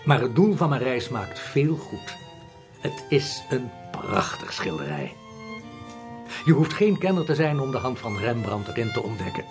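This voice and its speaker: An actor playing a courteous, shrewd art forger in a radio drama, talking smoothly and politely. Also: male voice, medium pitch, sing-song, a little slow, music in the background. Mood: obliging, courteous.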